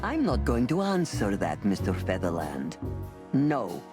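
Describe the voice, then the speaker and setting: A performer playing a cold-blooded crocodile crime boss in a noir video game, speaking in a measured, formal, condescending way. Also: sits low, huge pitch swings, over music, heavily acted. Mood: aloof, dismissive.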